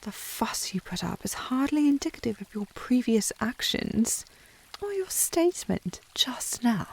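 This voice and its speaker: Casting: actress as prim, snooty young lady of the manor, British accent, fry-edged voice, coy and prickly, intimate period ASMR roleplay. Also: fairly high pitch, big pitch swings, measured pace, a little mellow, exaggerated voice, low-quality recording. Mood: indignant. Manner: prim, snooty, formal phrasing with coy indirectness. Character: haughty, flustered young noble lady of the house, coy. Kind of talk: intimate ASMR historical romance audio roleplay.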